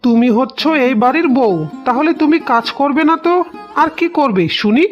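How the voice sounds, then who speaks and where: A woman's voice: sits high, moderate pace, faint music behind, close to an everyday voice. A woman voicing a cold, domineering village husband in a melodramatic cartoon. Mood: cold scolding. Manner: stern, dismissive. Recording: dull lo-fi cartoon soundtrack.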